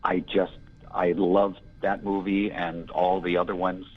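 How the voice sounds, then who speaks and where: Very deep gravelly older man. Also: level tone, unhurried. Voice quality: gravelly, gruff voice. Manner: rambling, reflective, many "you know" fillers. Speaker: interview guest, filmmaker. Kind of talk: casual conversational interview. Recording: dull lo-fi audio.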